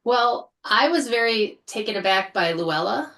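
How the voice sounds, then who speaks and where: A woman's voice: mid-range voice, lively intonation, measured pace, a bit bright. A woman guest on a podcast panel, talking in a casual, enthusiastic, conversational way.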